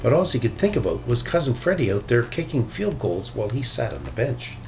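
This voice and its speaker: A man narrating a children's story in an expressive read-aloud style. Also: medium pitch, a little fast, slight background noise. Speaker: storybook reader.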